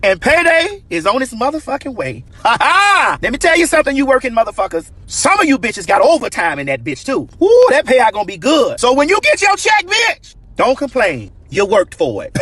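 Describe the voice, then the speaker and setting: Very high, animated, sassy American man. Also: normal speed, few pauses, breathy edge, dry recording. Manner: sassy, emphatic, colloquial. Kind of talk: profane comedic monologue to camera.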